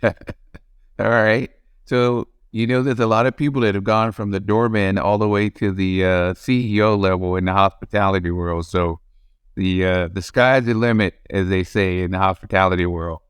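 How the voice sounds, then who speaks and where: Brief: male podcast host, low voice, smooth and even, friendly questioner. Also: moderate pace, dry recording. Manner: easygoing, leading with questions. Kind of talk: interview host.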